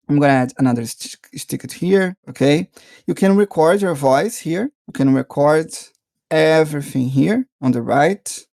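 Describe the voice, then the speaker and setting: A man narrating a casual software tutorial, explaining step by step in a halting way and checking in often. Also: fairly high pitch, gravelly, no room sound, studio quality. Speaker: software reviewer and tutorial presenter.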